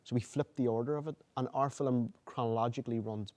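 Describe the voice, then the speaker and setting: A man's voice: medium pitch, fairly even intonation, fast talker, a little mellow. A man, a filmmaker on a festival panel, talking through his process with many restarts and fillers. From Northern Ireland. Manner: rambling, lots of restarts and "you know". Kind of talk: panel discussion.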